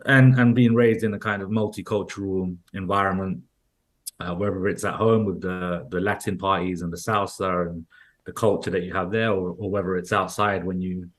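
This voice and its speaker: A man's voice: low voice, fairly even intonation, normal speed. A man from London with a London accent, talking as an interview guest in a loose, rambling way full of restarts and fillers. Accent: London English. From London, England. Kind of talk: casual interview conversation.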